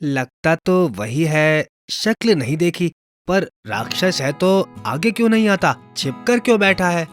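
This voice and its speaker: A man playing excitable, wary village boys in a children's folktale, exclaiming and questioning. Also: a little high, a little slow, soft background music. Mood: suspicious.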